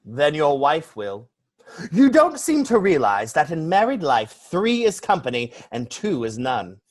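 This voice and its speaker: A man playing a witty, flippant young English gentleman in a stage comedy reading, declaiming with pompous mock seriousness. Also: on the high side, wildly swooping pitch, measured pace, full character voice. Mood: breezy, arch.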